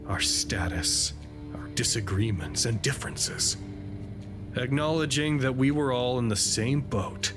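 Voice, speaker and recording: Man playing a resolute hopeful leader, low voice, measured oratory, earnest, audio drama speech, clear music behind. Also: natural voice. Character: resolute, hopeful male leader making a formal address.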